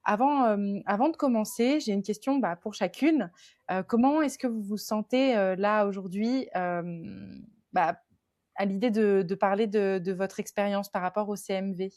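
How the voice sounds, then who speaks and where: A woman's voice: medium pitch, moderate pace, a little mellow, no room sound. Hesitant woman hosting a podcast, dry sound. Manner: hesitant, many fillers and restarts. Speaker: podcast host. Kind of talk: casual podcast chat.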